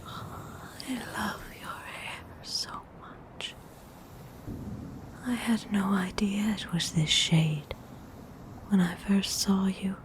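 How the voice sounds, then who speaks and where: A woman playing a gentle, seductive vampire noble in a whispered ASMR roleplay, breathy, soothing and intimate. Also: medium pitch, slight background noise. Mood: tender, intimate. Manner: slow, soothing, intimate, unhurried.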